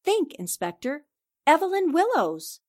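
A woman acts out a sharp female newspaper sleuth from a forties-style radio play. Her voice sits fairly high, and she lays out accusations with deliberate, confident assertion. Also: huge pitch swings, exaggerated voice. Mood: accusing. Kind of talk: radio drama acting in 1940s style.

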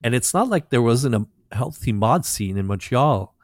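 A middle-aged French Canadian man with a Québécois French accent, French his first language, telling stories in a relaxed way as a guest DJ. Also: medium pitch, normal speed, no echo, clean studio sound. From Quebec, Canada. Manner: relaxed storytelling with fillers. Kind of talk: casual interview chat.

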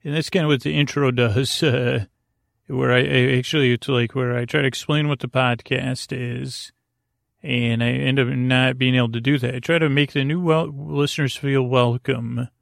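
A man hosting a sleep podcast with a creaky voice, rambling gently and haltingly through soothing, slightly silly tangents. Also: mid-range voice, steady tone, no room sound, studio quality. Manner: meandering, halting, frequent tangents. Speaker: podcast host. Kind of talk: rambling bedtime monologue to lull listeners to sleep.

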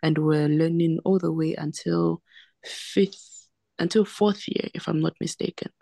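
A woman's voice: deep voice, steady tone, unhurried, creaky, dark tone, dry recording. A young woman from Zambia and South Africa with a Southern African accent, explaining her own experiences as an interview guest and repeating words for emphasis. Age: young adult. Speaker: podcast guest, medical doctor. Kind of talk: conversational interview, recounting personal experience.

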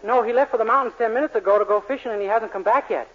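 High-voiced naive young man. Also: very fast. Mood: earnest, bewildered. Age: young adult. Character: naive, earnest, innocent young man, wholesome store clerk. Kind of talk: 1940s radio sitcom acting. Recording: muffled, noisy 1940s radio recording.

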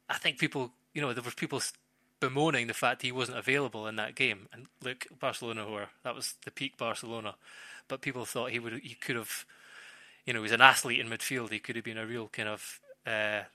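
Bright, forceful man talking football. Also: mid-range voice, fairly even intonation, no echo. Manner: halting, thinking aloud with repeated phrases. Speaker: football pundit on a podcast. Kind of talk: casual football discussion.

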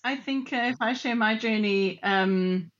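A woman's voice: on the high side, measured pace, dull audio. A middle-aged woman with a South African accent, speaking enthusiastically as a panelist about her career in tech. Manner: enthusiastic, animated storytelling. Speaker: panelist, tech industry leader. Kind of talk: panel discussion.

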